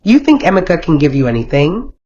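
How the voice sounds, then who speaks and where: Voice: medium pitch, sing-song, brisk, a little mellow, husky. A narrator plays a proud, wealthy chief and father in a folktale. He is unyielding and curt, giving commands. The recording is dull. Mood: snapping anger. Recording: dull, lo-fi sound.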